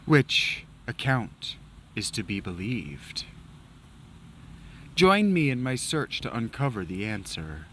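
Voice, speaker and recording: A man voicing a solemn male historian who narrates old wars in a slow, theatrical storytelling manner that drops to a hush. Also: on the low side, very animated, a little hiss or hum, exaggerated voice. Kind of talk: dramatic reading of game dialogue.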